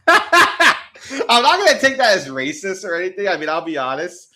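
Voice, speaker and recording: A man's voice: sits high, huge pitch swings, normal speed, bright, forceful tone. A young man chatting casually on a livestream, rambling with lots of restarts and frequent laughs. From United States. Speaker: streamer.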